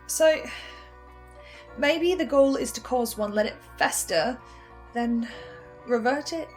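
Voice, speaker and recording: A woman playing an anxious, brooding researcher, speaking a halting dramatic monologue with stammers and pauses. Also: a little high. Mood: hesitant musing. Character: anxious female researcher with ominous knowledge, brooding and defiant. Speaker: host reviewing a fiction podcast in character. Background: music.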